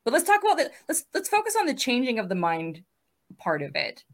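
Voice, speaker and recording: A woman's voice: high-pitched, wildly swooping pitch, brisk. A woman in her thirties moderating a casual online panel, chatty and upbeat, laughing easily between questions. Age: 30s. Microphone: video call.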